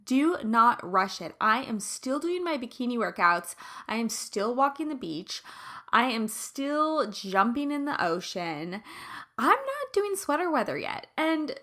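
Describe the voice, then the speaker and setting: Give me a bright, raspy-voiced woman chatting casually and laughing between stories. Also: a little high, a little slow. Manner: chatty, animated, laughs often. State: raspy from allergies. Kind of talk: casual personal chat.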